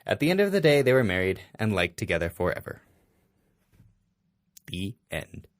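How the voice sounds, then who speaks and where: A man's voice: lowish voice, sing-song, normal speed, dry recording, clean studio sound. A man reading a story aloud in an exaggerated, mock-serious dramatic way. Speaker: amateur fanfiction reader.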